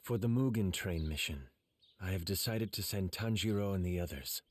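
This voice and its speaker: Deep, husky, solemn leader. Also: male voice, no echo. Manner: measured, formal. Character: calm, solemn adult male leader of warriors. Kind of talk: anime game voice acting.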